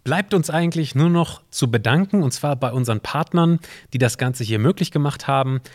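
A man's voice: medium pitch, darkish tone. A man hosting a semi-scripted audio play, explaining things to a companion and to listeners. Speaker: podcast host and co-creator of an exhibition audio play.

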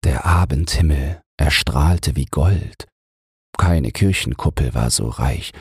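Very deep, husky male bedtime narrator. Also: no room sound, clean studio sound. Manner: calm, measured. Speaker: audiobook narrator. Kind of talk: soothing bedtime fairy-tale narration.

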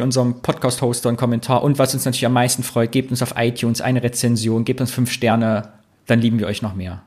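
A man co-hosting a casual podcast, reading listener comments aloud and telling anecdotes in a chatty way. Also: medium pitch. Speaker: podcast co-host.

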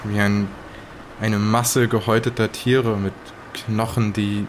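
A man playing a shaken survivor of an island horror, haltingly searching for words in an improvised audio drama. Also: fairly low pitch, level tone, noisy background. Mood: shaken, hesitant. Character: shaken male survivor of monsters on a storm-bound island.

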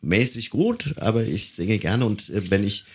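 A man's voice: fairly low pitch, expressive, unhurried, slightly rough. A man hosting a casual interview, feeling his way through questions and rephrasing as he goes. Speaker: interviewer, podcast host.

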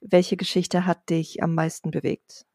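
A woman podcast host asking gentle, careful interview questions. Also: lowish voice, little pitch movement, unhurried, no echo, studio quality.

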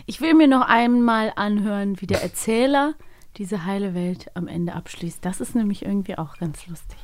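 A woman's voice: medium pitch, moderate pace, slight background noise. A woman from Cologne, Germany, co-hosting a podcast in a playful, casual chat. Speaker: podcast co-host.

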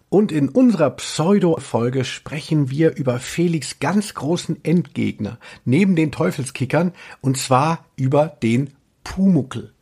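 A man's voice: on the high side, a little mellow, breathy edge. A man co-hosting a casual comedic podcast in a playful, tongue-in-cheek way. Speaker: podcast co-host.

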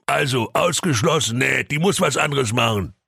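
A man voicing an irate, crude father in a comic fairy tale, blustering and insulting in a raspy, strained, creaky voice. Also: medium pitch, lively intonation, normal speed, sharp tone, dry recording, studio quality, voice pushed up, exaggerated voice. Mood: indignant.

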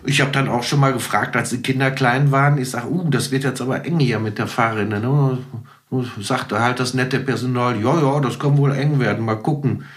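Middle-aged man chatting informally, medium pitch. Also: fairly even intonation, normal speed. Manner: informal, conversational, tells stories with acted-out quotes. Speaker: podcast guest, musician. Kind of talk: casual anecdotal chat.

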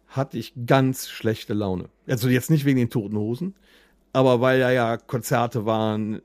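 A man's voice: mid-range voice, a little mellow, no echo, studio quality. A man co-hosting a podcast in casual, joking banter, talking colloquially and crudely. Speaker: podcast co-host.

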